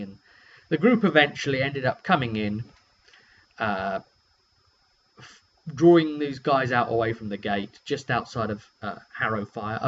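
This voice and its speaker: A British man with a medium-pitched voice tells a game story in a loose, chatty way. He hesitates and rambles as he goes, unscripted. The recording is lo-fi and dull, with a light hum underneath. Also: fairly bright tone. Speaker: game master and vidcast host. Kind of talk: casual session recap, unscripted.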